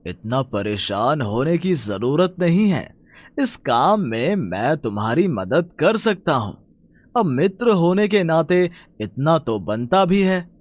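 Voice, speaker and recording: Slow, kindly magic tree, voiced by a man. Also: a little high, big pitch swings, no room sound, heavily acted. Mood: kind, reassuring. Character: kindly magical talking tree, gentle old friend. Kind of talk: cartoon fairy-tale voice acting.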